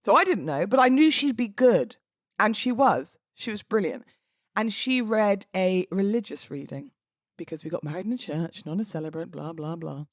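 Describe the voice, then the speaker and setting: A woman's voice: medium pitch, animated, normal speed, no room sound. Chatty, theatrical British woman, dry close sound. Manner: theatrical, playful delivery. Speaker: podcast host and wedding celebrant. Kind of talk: chatty solo podcast talk.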